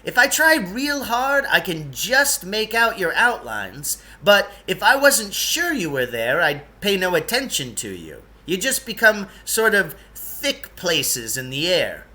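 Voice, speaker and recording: A man's voice: high-pitched, very animated, measured pace, few pauses, pushed and bright, pitched above the performer's own voice, heavily acted. A man voicing a jumpy, fussy and proud guide from another world in a storybook, hesitant at times and touchy. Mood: explaining. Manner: hesitant stammering, then emphatic and touchy.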